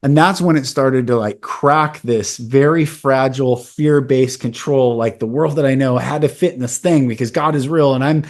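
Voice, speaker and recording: A man's voice: medium pitch, moderate pace, hardly any pauses. A man in his forties with a mid-range voice hosts a podcast. He shares a personal story in a candid, earnest, conversational way. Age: 40s. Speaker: podcast host. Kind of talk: casual conversation.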